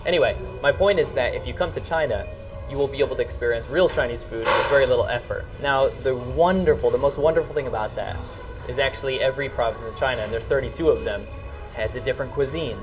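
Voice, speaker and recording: Fast-talking American man, enthusiastic vlogger. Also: mid-range voice, distant mic, music in the background. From rural New York, United States. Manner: enthusiastic, emphatic. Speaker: vlog host. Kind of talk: casual vlog talk to camera.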